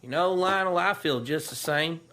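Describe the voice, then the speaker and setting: An actor playing a cheerful, dim-witted, scheming cowboy outlaw with a folksy rural Western accent in a comedy audio drama. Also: male voice, a little high, moderate pace, bright, forceful tone. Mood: smugly cheerful. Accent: rural American West. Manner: folksy, mangled homespun sayings.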